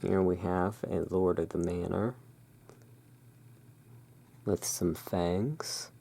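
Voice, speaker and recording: An ASMR host in a gothic shopkeeper roleplay, musing in an unhurried, gently whimsical way while commenting on cards. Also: sits low, steady tone, creaky, slightly rough. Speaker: host playing a gothic curiosity shop owner.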